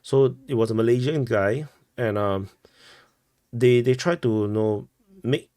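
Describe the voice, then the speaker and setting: A man with a Singaporean accent giving informal news commentary as a host, halting and often rephrasing himself. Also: medium pitch, mellow, dry recording. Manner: halting, repeats and rephrases phrases.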